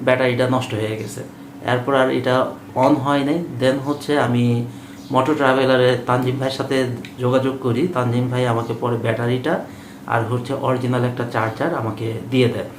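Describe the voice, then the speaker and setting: A Bangladeshi-accented man speaks in a roomy, echoing space with clear noise behind him. His voice is mid-pitched, and he explains things casually and a little hesitantly, in the manner of a vlogger addressing viewers. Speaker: motorbike vlogger. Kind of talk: casual vlog talk to viewers. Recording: roomy echo, clearly noisy background.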